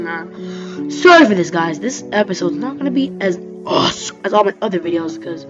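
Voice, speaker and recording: A woman giving rambling, excitable let's-play commentary over her gameplay, talking straight to her viewers. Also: medium pitch. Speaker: gaming commentator. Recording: dull lo-fi mic with faint music.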